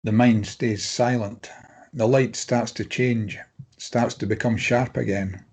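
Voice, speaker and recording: A man's voice: mid-range voice, steady tone, slow, a little mellow, husky. A man reading stage directions as narrator, in scripted dramatic present-tense narration.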